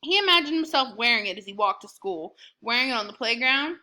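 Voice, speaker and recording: A woman narrating a children's book aloud in an expressive storytelling style. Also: a little high, a little fast.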